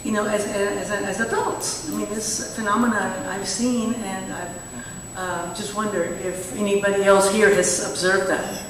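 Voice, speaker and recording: A woman in the audience asking a question, halting with many pauses and fillers. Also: medium pitch, steady tone, background noise. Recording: distant echoey hall mic.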